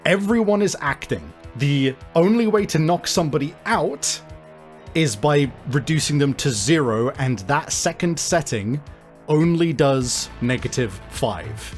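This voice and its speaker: A man acting as game master of a tabletop role-playing game, explaining rules in a deliberate, hesitant way. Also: on the high side, lively intonation. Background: music.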